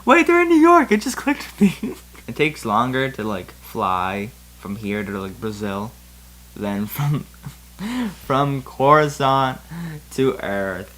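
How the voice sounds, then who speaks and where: Bright-voiced man in theatrical comic commentary. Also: medium pitch, very animated, slow. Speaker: YouTube host reading fan fiction. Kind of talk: comedic reading with casual commentary.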